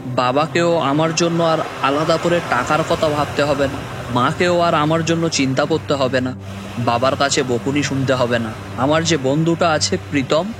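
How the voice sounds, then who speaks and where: A man's voice: fairly high pitch, background noise, natural voice. A man playing a dejected, jobless young son who narrates his own cartoon story. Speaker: narrator and lead character. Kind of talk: first-person dramatic story narration. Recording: music bed under voice.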